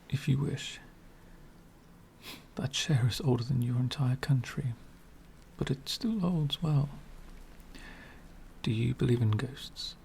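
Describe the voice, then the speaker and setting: An elegant centuries-old vampire lord, acted by a man, speaks in a soft ASMR roleplay. His delivery is very slow and slips often into whispers, with a gravelly fry underneath. He is courtly, wry and faintly condescending toward mortals, over faint background ambience. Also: medium pitch, a little hiss or hum. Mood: wry, courteous. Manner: soft-spoken, often dropping into breathy whispers; courtly, archaic, gently condescending.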